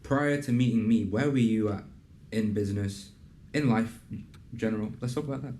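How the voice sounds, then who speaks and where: A young man hosting a casual interview and pitching his program in an earnest, conversational way, with plenty of fillers. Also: normal speed, warm tone. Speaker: host and program founder. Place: in a building in a city business district.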